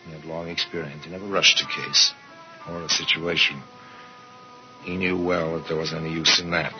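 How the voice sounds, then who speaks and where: An actor playing a worldly, sardonic narrator of murder tales in a 1950s radio mystery, with measured, dramatic delivery. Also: male voice, extremely low pitch, fairly even intonation, faint music behind. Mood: musing. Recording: muffled, very noisy old radio recording.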